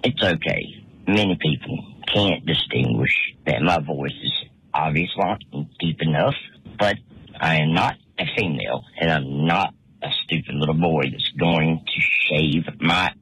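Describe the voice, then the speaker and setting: An adult man with a raspy voice leaving an emphatic, defensive voicemail for a radio show. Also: very deep voice, a little slow, a bit bright, poor audio.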